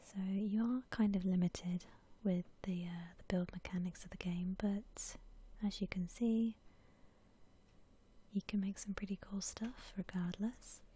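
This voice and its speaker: Slow, breathy whispering woman. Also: medium pitch, steady tone. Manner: gentle, unhurried, musing aloud. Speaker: host of an ASMR gaming channel. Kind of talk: whispered ASMR game commentary.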